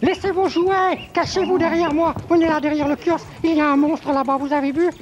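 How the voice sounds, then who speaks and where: A man voicing a big, friendly, childlike male monster from a children's TV show, in a high, goofy voice. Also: steady tone, fairly quick, dull audio. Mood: alarmed. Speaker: children's TV puppet character. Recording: noisy old TV recording.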